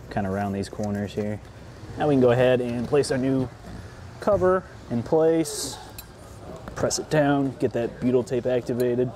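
A man in his thirties with a lowish, mellow voice walks through an installation step by step. He sounds relaxed and chatty, talking while he works with his hands, close on a lapel mic with faint workshop noise behind him. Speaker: product installation presenter. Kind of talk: casual how-to demonstration. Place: large indoor workshop floor.

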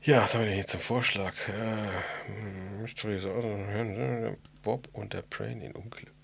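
Male podcast co-host: husky, creaky, average pitch, easygoing and jokey. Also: steady tone, measured pace. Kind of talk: casual podcast chat.

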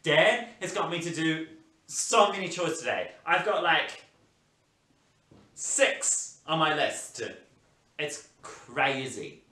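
A man's voice: a little high, animated, slow pace, long pauses, bright, forceful tone, exaggerated voice. A young man playing a mischievous, bored big brother in a children's story, exaggerated and conspiratorial. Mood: complaining, confiding. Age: young adult. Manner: exaggerated, conspiratorial, talking to viewers. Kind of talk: playful children's storytelling performance. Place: plain indoor room. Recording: roomy sound, off the mic.

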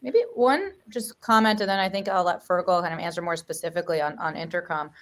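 A woman's voice: fairly low pitch, fast talker. A woman in her thirties with a Western American accent, a venture investor speaking enthusiastically on a video call. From US West Coast. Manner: enthusiastic, uses fillers. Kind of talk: panel discussion on video call. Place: bright room.

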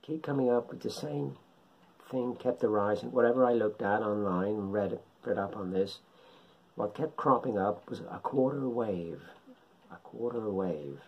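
Low, husky man explaining, halting. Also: lo-fi sound. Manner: unhurried, halting delivery in short phrases. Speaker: amateur radio hobbyist presenter. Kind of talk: informal explanatory tutorial. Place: indoors, living room at home.

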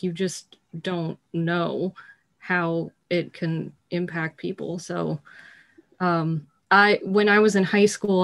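Low, even-voiced young woman. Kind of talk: reflective casual panel talk. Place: indoor room on a video call. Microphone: video call.

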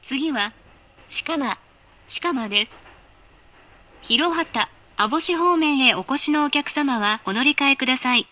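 A woman giving polite, formal automated train announcements in a measured voice. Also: high-pitched, sharp tone.

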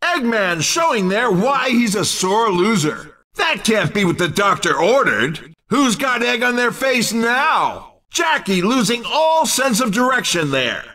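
A man voicing an excitable, cheeky race commentator in a video game, exclamatory and teasing. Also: very high voice, a little slow, hardly any pauses, far from the mic, heavily acted. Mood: excited, teasing.

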